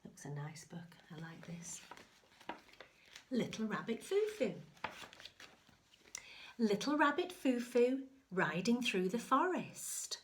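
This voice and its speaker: A woman reads a children's picture book at a slow, unhurried pace. She moves between sing-song storytelling and softer, hushed remarks on the pictures, like an infant school story reader. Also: on the high side, a little hiss or hum. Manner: expressive sing-song reading, hushed asides. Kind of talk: children's picture-book read-aloud with commentary.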